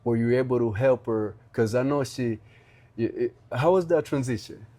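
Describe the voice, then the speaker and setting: Young guy from rwanda hosting a podcast, warm mellow tone, earnest and stumbly. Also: mid-range voice. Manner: earnest, halting, many restarts. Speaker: podcast host. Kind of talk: casual interview chat.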